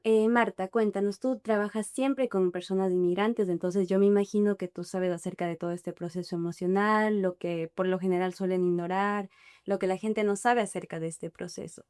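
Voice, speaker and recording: A woman's voice: mid-range voice, fairly even intonation, moderate pace, darkish tone, clear, resonant tone, no room sound, clean studio sound. A woman hosting a podcast interview, asking friendly questions to prompt her guest. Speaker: podcast host.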